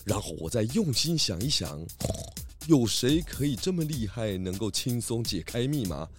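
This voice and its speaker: A man playing a shrewd, confident pig detective in a children's radio play, explaining deductions in a deliberate, theatrical, gently lecturing way. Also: medium pitch, very animated, faint music behind, lightly acted. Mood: triumphant.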